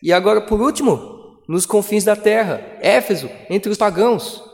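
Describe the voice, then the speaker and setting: A man preaching a sermon as pastor, with dramatic pauses and emphatic delivery. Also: high voice, darkish tone. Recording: long hall reverb.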